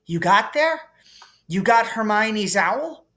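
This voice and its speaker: Man voicing high-pitched, earnest young schoolboy. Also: very animated, takes it slowly, fairly bright tone, exaggerated voice. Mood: surprised, worried. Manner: urgent, questioning. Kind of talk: audiobook character reading.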